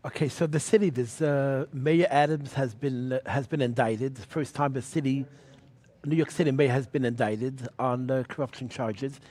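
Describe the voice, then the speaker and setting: A man who writes about politics, answering interview questions in a measured way, with frequent pauses and "you know.". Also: mid-range voice, steady tone, a little mellow. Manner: measured, many fillers and restarts.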